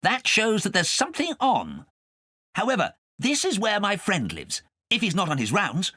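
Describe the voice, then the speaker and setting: A man's voice: high voice, wildly swooping pitch, normal speed, pushed and bright, husky, no echo, studio quality, pitched above the performer's own voice, heavily acted. A man voicing a friendly, knowing witch's cat in a storybook, chatty and matter-of-fact. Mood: brisk, friendly.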